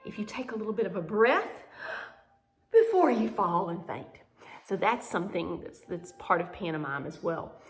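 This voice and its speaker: A woman's voice: medium pitch, lively intonation, normal speed. A woman teaching a pantomime and ballet lesson on video, demonstrating as she talks with dramatic emphasis. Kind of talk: instructional video dance lesson. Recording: echoey room with music.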